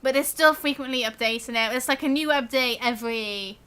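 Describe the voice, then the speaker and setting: A woman's voice: high voice, brisk, pushed and bright, smooth. A giggly, chatty woman giving casual live commentary while streaming a video game. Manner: giggly, chatty, reacts to chat.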